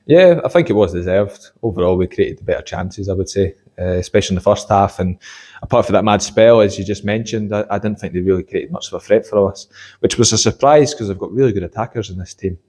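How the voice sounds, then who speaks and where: A young man, an assistant football manager, giving a measured post-match interview with many fillers, in a stadium corridor. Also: lowish voice, darkish tone, husky. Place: corridor by a sports changing room.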